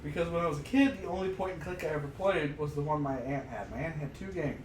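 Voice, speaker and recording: A man in his thirties co-hosting a podcast, chatting informally and a bit haltingly. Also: on the high side. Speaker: podcast co-host. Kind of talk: casual chat about old games. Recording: distant mic, echoey room, noisy background.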